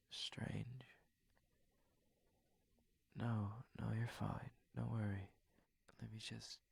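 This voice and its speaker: A man playing a courteous, shy, flustered young prince in an intimate ASMR roleplay, speaking gently and hesitantly in formal phrases, often in a breathy whisper. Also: on the low side, exaggerated voice. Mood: dazed, gentle.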